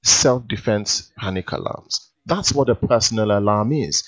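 A man's voice: lowish voice, moderate pace. A man co-hosting a casual radio show, playful and teasing. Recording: dull lo-fi broadcast sound.

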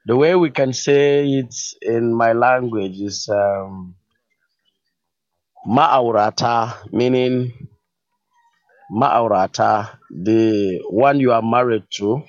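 A man from northern Nigeria with a Nigerian accent, a native Hausa speaker, calling in to a radio show and talking with many fillers. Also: mid-range voice. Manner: unhurried, hesitant, with fillers and laughs. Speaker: radio caller. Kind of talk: phone-in call. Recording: muffled phone-in line.

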